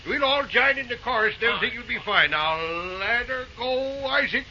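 A man's voice: very high voice, pushed and bright, exaggerated voice. Folksy, flustered old railroad man. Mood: cheerful. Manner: rustic drawl, halting, repeating himself. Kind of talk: old-time radio drama acting. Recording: dull, hissy old radio recording.

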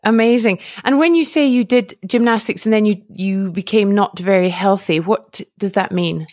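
A woman's voice: mid-range voice, moderate pace, a little mellow, no echo, studio quality. A woman hosting a podcast, chatty and upbeat, introducing an episode and then interviewing guests. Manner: upbeat, chatty, laughs often. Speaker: podcast host.

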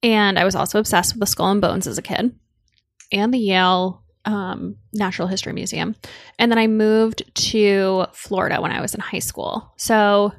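A woman's voice: medium pitch, steady tone, normal speed, dry recording, studio quality. A young woman from Connecticut co-hosting a podcast, chatting casually and rambling with lots of "like.". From Connecticut, United States. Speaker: podcast co-host. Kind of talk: casual chat.

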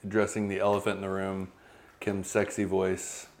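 A man's voice: sits low, monotone, moderate pace, background noise. A man in his thirties co-hosting a podcast, chatting casually and teasing his partner. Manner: teasing, easygoing. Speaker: podcast co-host. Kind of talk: casual chat.

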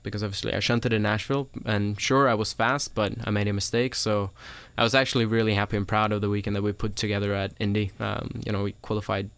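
A young man from Sweden, a racing driver guest in a studio, telling his story in relaxed casual chat with frequent ums and little laughs. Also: fairly low pitch, quick pace, hardly any pauses, clear, resonant tone, no echo.

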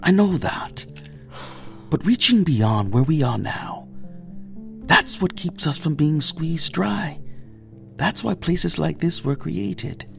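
A man's voice: on the high side, lively intonation, takes it slowly, vocal fry, long pauses, soft background music, heavily acted. An actor playing an earnest, idealistic man leader in an audio drama, musing and persuasive, fond of rhetorical turns. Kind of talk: naturalistic audio drama acting.

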